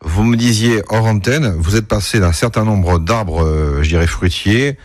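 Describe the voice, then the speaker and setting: A man's voice: fairly low pitch, a little slow, no echo, studio quality. A man working as a radio reporter, interviewing a guest politely with some hesitation.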